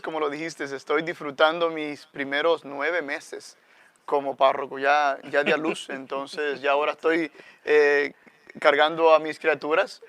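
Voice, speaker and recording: A middle-aged man with a Salvadoran accent, speaking as a guest friar. His voice sits a little high and sounds smooth and clear. He explains things earnestly, with frequent fillers, in a dry, close recording. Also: a bit bright, dry recording. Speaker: guest friar, parish priest. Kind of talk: conversational religious talk.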